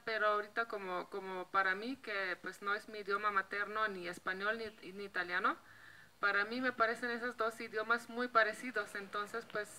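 Bright-voiced Slovenian woman vlogger. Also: medium pitch, slight background noise, poor audio. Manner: chatty, restarts words often, laughs lightly. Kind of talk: casual chat to camera. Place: outdoor patio by a block wall. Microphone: handheld mic.

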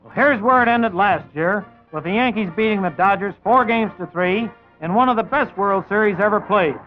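High-pitched man narrating a vintage sports newsreel. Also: normal speed. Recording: dull vintage 1950s newsreel sound, music behind.

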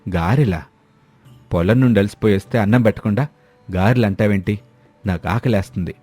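A man's voice: fairly low pitch, brisk, mellow, faint music behind, lightly acted. A man playing a hardworking, grumbling village husband in a moral story cartoon. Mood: exasperated. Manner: grumbling, complaining.

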